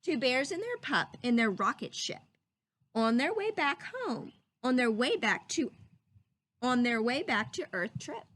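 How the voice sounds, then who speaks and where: A woman reading a children's picture book aloud in an animated teacher's way, drawing out words and pausing to put questions to young listeners. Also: high voice, no room sound.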